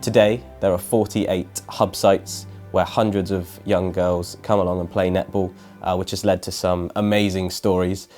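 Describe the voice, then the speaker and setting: A man's voice: low voice, level tone, moderate pace, few pauses, a little mellow, faint music behind. A man working as an interviewer, asking questions and explaining things in a prepared, informative way. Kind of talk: interview questions and scripted introduction.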